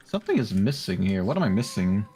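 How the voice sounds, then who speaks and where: A man's voice: on the low side, very animated, fast, dark tone, breathy edge. A man streamer chatting casually and muttering to himself in a distracted way as he works. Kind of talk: casual chat while drawing on a livestream. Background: music.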